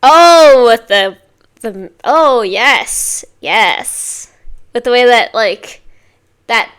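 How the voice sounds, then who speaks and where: A woman hosting a music reaction video, talking casually in rambling, filler-heavy sentences with bursts of excitement. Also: on the high side, extremely slow. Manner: chatty, rambling, many likes and you-know-what-I-means.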